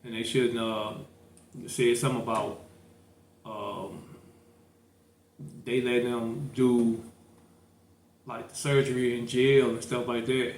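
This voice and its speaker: A man's voice: medium pitch, little pitch movement, slow, long pauses. A man hosting a podcast, chatting casually with lots of fillers and restarts. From St. Louis, Missouri. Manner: rambling, many fillers and restarts. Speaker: podcast host. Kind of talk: casual political chat. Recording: echoey room.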